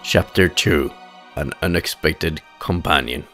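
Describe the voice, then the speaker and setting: Casting: male storyteller, low voice, solemn and measured, for dramatic fantasy narration with soft music behind.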